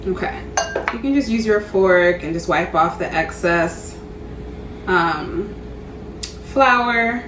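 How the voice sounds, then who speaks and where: A woman's voice: lowish voice, lively intonation, slow pace, long pauses, noisy background. A woman hosting a cooking show, narrating a recipe in a chatty, upbeat way with casual slang and frequent laughs.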